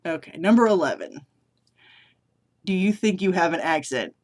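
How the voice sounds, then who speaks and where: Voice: medium pitch, lively intonation, normal speed. A vlogger from New England chatting casually to the camera, rambling and self-deprecating with many restarts. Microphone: camera mic.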